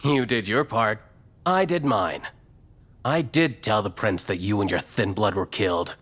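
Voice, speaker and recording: A man's voice: lowish voice, a little slow, fairly bright tone. A man playing a smug, cruel, mercenary vampire gang boss in an audio drama, taunting and businesslike.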